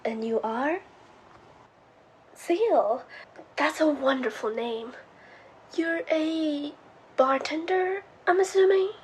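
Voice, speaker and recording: A woman voicing a polite, wistful, possessive serpent in a scripted, theatrical monologue, speaking gently and formally. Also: high voice, slow, pauses often, a little hiss or hum. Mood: polite, delighted. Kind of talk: scripted character monologue to the listener.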